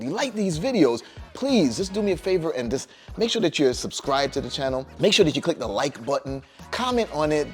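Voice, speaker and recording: A man hosting a podcast, speaking straight to listeners in a friendly, relaxed way. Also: mid-range voice. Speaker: podcast host. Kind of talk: casual channel announcement.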